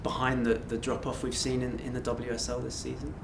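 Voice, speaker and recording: A man's voice: medium pitch, level tone, brisk. A man working as a sports reporter, tentatively putting and rephrasing questions at a press conference. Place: indoor press conference room. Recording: echoey room, clear background noise.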